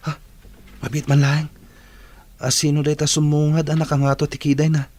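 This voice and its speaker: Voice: mid-range voice, completely flat delivery, normal speed, a little mellow, lightly acted. An actor with an Ilocano accent playing a dutiful, worried young working student in a radio drama.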